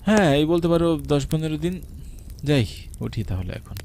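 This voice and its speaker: A man voicing a condescending, easily spooked investigating officer in a horror cartoon, with an expressive, dramatic delivery. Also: fairly high pitch, brisk, slight background noise. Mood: self-assured.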